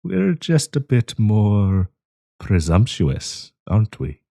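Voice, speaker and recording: A man playing a sinister, possessive werewolf professor in an intimate audio roleplay, coaxing and menacing, with a husky, breathy voice. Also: lowish voice, very animated, background noise, full character voice. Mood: menacing, amused. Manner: slow, coaxing, menacing endearments.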